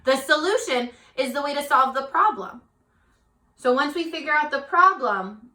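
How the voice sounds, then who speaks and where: A woman leads a read-aloud lesson for young children in a bright, fairly high voice. She speaks slowly, asking simple questions and offering encouragement. The room is noticeably echoey. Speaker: teacher.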